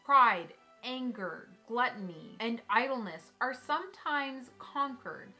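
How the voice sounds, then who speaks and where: Storybook fairy, read by a woman: stern, formal, solemn, bright and slightly high. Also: lively intonation, slow, faint music behind, heavily acted. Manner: formal, solemn, reading aloud. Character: stern, judging fairy pronouncing reward and punishment. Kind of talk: storybook reading.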